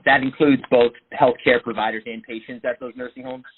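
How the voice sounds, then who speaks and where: A man's voice: medium pitch, level tone, a little fast. A man working as a reporter, putting direct questions on a press call. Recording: noisy, tinny phone line.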